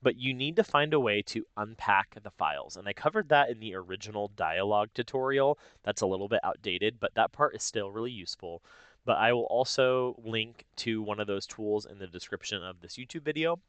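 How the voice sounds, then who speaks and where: Low, clear-voiced man giving a software tutorial. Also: lively intonation, fairly quick, no echo. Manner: informal, explanatory, thinking aloud. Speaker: tutorial host. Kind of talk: casual step-by-step software tutorial narration.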